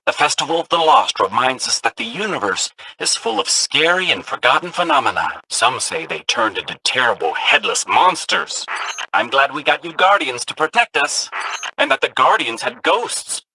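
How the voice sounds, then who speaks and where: A man's voice: on the high side, sing-song, moderate pace, gravelly, pushed and bright, breathy edge, full character voice, poor audio. An actor voicing an eager, chatty male ghost companion robot in a video game, a curious storyteller of spooky legends. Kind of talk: game voice lines.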